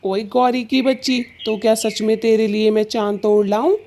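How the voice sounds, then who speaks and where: A woman's voice: a little high, steady tone, warm tone, a little hiss or hum, natural voice. A woman voicing a weary, exasperated male bird husband in a children's cartoon story. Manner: slow, exasperated.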